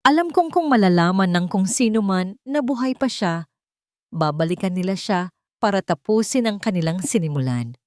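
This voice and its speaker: Woman as older male doctor, storybook dialogue, calm, measured, secretive, grave, dry recording. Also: mid-range voice, dry recording, lightly acted. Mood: calm, confiding.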